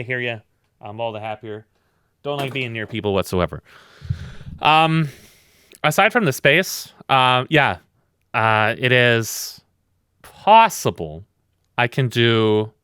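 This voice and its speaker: A tired man with a loud voice, co-hosting a podcast in a blunt, rambling, swearing chat. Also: medium pitch, animated, slow, pauses often, clear, resonant tone, no echo, clean studio sound. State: very tired from lack of sleep. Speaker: podcast co-host.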